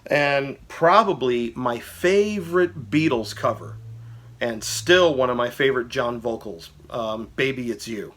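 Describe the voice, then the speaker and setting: A man's voice: mid-range voice, measured pace, a bit bright. A man talking casually about a favorite album, enthusiastic and rambling, with lots of fillers and restarts. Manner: briefly sings lyric snippets. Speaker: vlogger reviewing albums. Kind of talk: casual personal music commentary.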